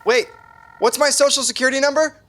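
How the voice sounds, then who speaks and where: An actor playing a nervous, awkward young sitcom roommate who rambles, stammers and apologizes. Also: male voice, extremely high pitch, fairly even intonation, fairly quick, vocal fry, sharp tone, slight background noise, heavily acted. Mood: flustered, anxious. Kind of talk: sitcom acting.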